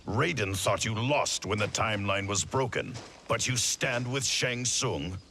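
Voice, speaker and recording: An actor playing a stern, suspicious warrior commander in a video game cutscene, speaking tersely in a gravelly voice. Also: male voice, measured pace, slight background noise. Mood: accusing. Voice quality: deep, gravelly. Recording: loud film score underneath. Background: loud dramatic music.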